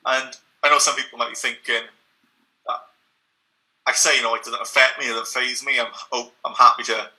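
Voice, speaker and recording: A man's voice: medium pitch, sharp tone, rough recording. A young man, speaking as an interview guest in an earnest, reflective way, sometimes repeating words as he restarts a thought.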